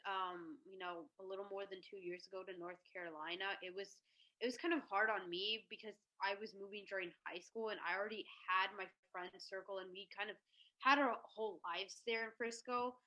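A young woman from Texas co-hosting a podcast, speaking softly and hesitantly with frequent pauses and "um"s. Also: medium pitch. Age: young adult. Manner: hesitant, soft-spoken, frequent "um". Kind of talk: casual podcast discussion. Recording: dull lo-fi mic.

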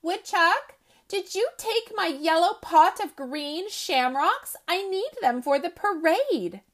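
A woman voicing an eager, fretful little male rabbit in a storybook reading, high and excitable. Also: slow, full character voice. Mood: worried. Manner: excited, high and bright in his exclamations.

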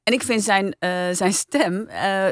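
A woman's voice: medium pitch, measured pace, no echo. A woman hosting a casual podcast review chat, close to a studio microphone. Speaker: podcast host.

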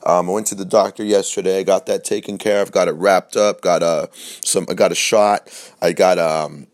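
A young man with a very low voice hosts his podcast solo, chatting loosely and rambling. His throat is sore, so his voice comes out scratchy. He swears freely and keeps restarting his sentences with fillers. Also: fairly even intonation, moderate pace. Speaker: podcast host. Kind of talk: casual solo podcast chat.

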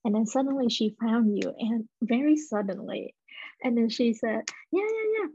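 A woman's voice: on the high side, lively intonation, moderate pace, warm tone, slight background noise. A woman hosting a podcast, asking her guest questions in a friendly, casual way. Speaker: podcast host. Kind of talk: interviewing a guest. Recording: dull dry lo-fi mic.

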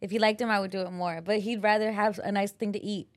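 A woman's voice: mid-range voice, fairly even intonation, fast talker, dry recording, studio quality. Teasing, outspoken woman podcast host. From Salvadoran heritage. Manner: teasing, outspoken, switches between Spanish and English. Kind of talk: casual bilingual podcast chat.

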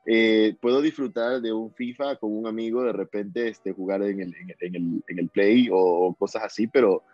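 Young ecuadorian guy, coastal accent, low warm voice, dull recording, faint music behind, rambles a lot. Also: steady tone, normal speed, no room sound. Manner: rambling, repeats and restarts words. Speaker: guest musician. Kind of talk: casual interview chat.

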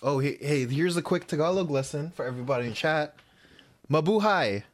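A man's voice: a little high, animated, fairly quick. Man, Filipino accent, casual livestream chat, easygoing, repeats words. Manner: easygoing, often repeats words when starting. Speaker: stream co-host.

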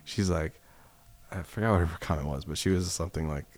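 A man's voice: low voice, brisk. An American man from the East Coast, co-hosting a casual chat and dropping in teasing, dry asides. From Norwalk, Connecticut, US East Coast. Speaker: co-host.

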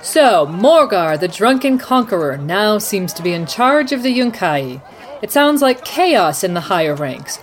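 Woman playing an animated live-news anchor. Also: medium pitch, measured pace, a little hiss or hum. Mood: urgent, incredulous. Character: excitable female news anchor covering a live war. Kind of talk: radio news play anchoring.

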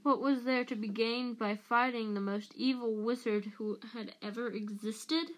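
A woman reader performs a furious, bitter man bent on vengeance in a storybook. He speaks very slowly and forcefully, pressing each accusation home. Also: a little high, close to an everyday voice, poor audio. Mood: bitter, accusing. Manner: slow, forceful, accusing delivery.

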